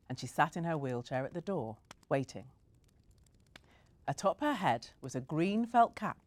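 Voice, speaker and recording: Woman reading a kids story aloud, low voice but swoops around a lot, expressive. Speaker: reader.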